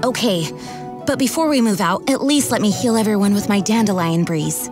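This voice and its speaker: Woman as noble young lady knight, mid-pitched voice, dutiful, gracious, formal, anime game style, music behind. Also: natural voice. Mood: gracious, caring. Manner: formal, earnest, measured, gracious.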